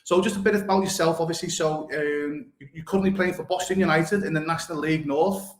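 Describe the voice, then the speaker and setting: A man's voice: on the high side, fairly even intonation, fast, echoey room. A man hosting a live podcast interview, effusive and full of fillers like "obviously" and "brilliant". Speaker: podcast host.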